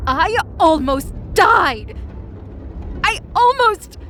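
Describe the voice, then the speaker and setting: A woman voicing an imperious, icy, hot-tempered goddess princess in an audio drama, haughty and forceful. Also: very high-pitched, very animated, extremely slow, slight background noise, voice pushed up, heavily acted. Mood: furious.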